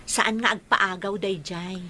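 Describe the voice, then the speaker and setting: A woman's voice: medium pitch, fairly even intonation, measured pace, bright, forceful tone. A woman with a Filipino accent playing a bashful, protesting young daughter who is being courted in a radio drama. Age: young adult. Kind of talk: Philippine radio drama acting.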